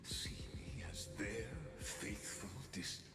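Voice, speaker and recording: An actor playing a grave ancient scholarly villain in a video game, solemn, theatrical and coldly bitter. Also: male voice, slow pace, whispered, close to an everyday voice. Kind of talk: scripted video game voice acting. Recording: echoey long reverb under game music.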